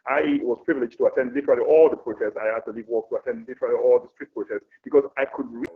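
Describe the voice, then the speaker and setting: Nigerian man over thin phone-like connection. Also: mid-range voice. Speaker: panelist, activist. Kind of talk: first-hand account in a panel. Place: indoors, phone video call. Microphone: phone on a video call. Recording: thin, narrow phone-like call audio.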